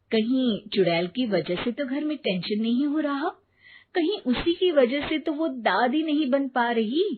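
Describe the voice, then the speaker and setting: A woman narrating a scripted animated story. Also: a little high. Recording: narrow phone-like sound, faint noise.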